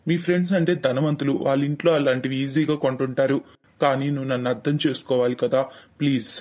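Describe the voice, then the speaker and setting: A weary young husband from a moral story, acted by a man. He pleads earnestly and quickly, with little rise or fall in his medium-pitched voice. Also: steady tone, a little mellow, lightly acted. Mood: pleading.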